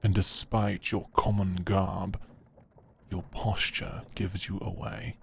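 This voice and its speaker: A man playing a stern, shrewd knight in a medieval audio drama, calm, measured and knowing. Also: deep voice, vocal fry, a little hiss or hum, heavily acted. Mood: shrewd, appraising. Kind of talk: dramatic audio roleplay acting.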